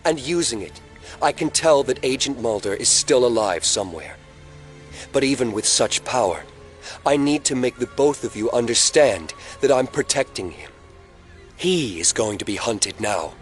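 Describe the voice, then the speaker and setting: An actor playing a scheming telekinetic young man in an audio drama, speaking in a measured, controlling and ominously earnest way. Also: medium pitch, lively intonation, faint music behind, lightly acted. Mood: grave, confiding.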